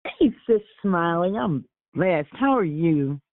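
A woman's voice: fairly low pitch, lively intonation, slow pace, dry recording. Woman hosting a call over a tinny phone line. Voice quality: gets raspy. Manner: repeats greetings, warm affectionate address. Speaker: host of a prayer conference call. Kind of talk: call-in greetings. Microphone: telephone.

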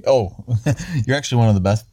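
Man, mellow mid voice, dry terse deadpan sidekick. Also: medium pitch, brisk, husky. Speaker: comedy co-host. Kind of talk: deadpan improvised comedy chat. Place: outdoors on a lookout.

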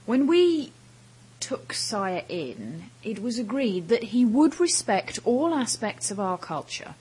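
A woman acting a formal, intense woman in a school confrontation in an audio drama, measured and breathy. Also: on the high side, very animated, darkish tone, full character voice, poor audio. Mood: cold indignation.

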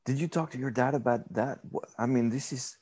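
A man interviewing a guest in a casual conversation, friendly and quick to laugh, asking short questions. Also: mid-range voice, a little mellow, slightly rough.

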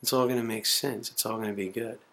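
A man's voice: fairly low pitch, fairly even intonation, fast, gravelly, a bit bright. Rambling, profane man in his forties. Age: 40s. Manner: rambling, profane, self-mocking. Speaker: video diarist. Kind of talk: casual self-recorded monologue. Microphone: home camcorder.

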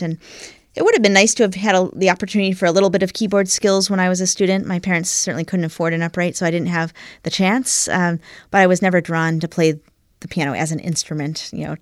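A middle-aged woman, a professional violinist, answering interview questions in a relaxed, enthusiastic and talkative way, with many fillers. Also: fairly low pitch, dry recording, studio quality. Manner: enthusiastic, talkative, frequent "you know" and "um".

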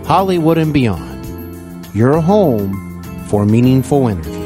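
A man hosting an interview podcast, reading a scripted promo with slow, deliberate delivery. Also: mid-range voice, very animated, over music. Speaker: podcast host.

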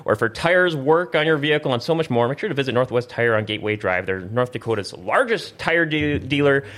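A man's voice: mid-range voice, expressive, a little fast, a bit bright. A man hosting a podcast, talking casually through news in a rambling way that doubles back on itself. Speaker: podcast host.

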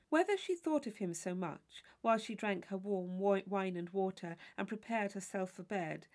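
Female narrator for literary audiobook, medium pitch, very varied melody, measured storytelling. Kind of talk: scripted narration of a classic novel.

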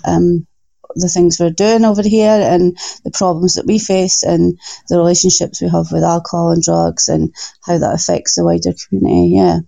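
A woman's voice: on the low side, level tone, normal speed, creaky. A Scottish woman being interviewed as a podcast guest. She speaks hesitantly and a little nervously, with many ums. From Scotland. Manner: hesitant, soft-spoken, says she is nervous. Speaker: guest from a recovery organization. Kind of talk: interview answers.

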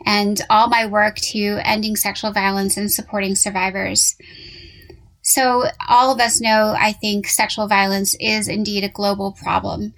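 A woman hosting an interview podcast, asking questions in a gentle, thoughtful way. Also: medium pitch, gravelly, rough recording. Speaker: podcast host.

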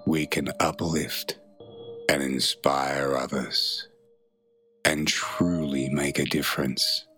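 Very deep-voiced man guiding meditation. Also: fairly even intonation, pushed and bright, soft background music. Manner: soothing, measured, with long pauses between phrases. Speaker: meditation guide. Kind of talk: guided meditation narration.

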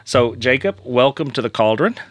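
A man hosting a casual podcast, friendly and joking, his voice a bit hoarse from being under the weather. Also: mid-range voice, lively intonation, normal speed, dry recording. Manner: friendly, joking, rambling with fillers. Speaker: podcast host.

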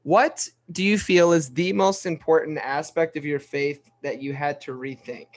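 A man's voice: on the high side, normal speed. A man co-hosting a podcast, chatting and asking questions in a casual interview style. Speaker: podcast co-host.

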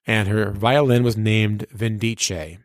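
A man, a radio personality, reminiscing casually and effusively, with emphatic, drawn-out phrasing and repetition. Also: on the low side, steady tone, no echo, studio quality.

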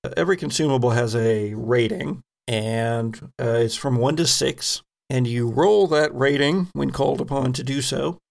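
A man working as game master, narrating events and rules in a halting, think-aloud way. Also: mid-range voice, gravelly, darkish tone. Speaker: game master of a tabletop role-playing podcast. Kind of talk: improvised game narration, explaining rules and outcomes.

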